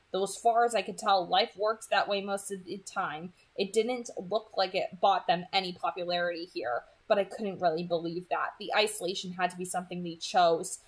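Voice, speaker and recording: Female narrator for first-person fiction read-aloud, mid-range pitch, scripted delivery. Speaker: narrator reading a novel aloud.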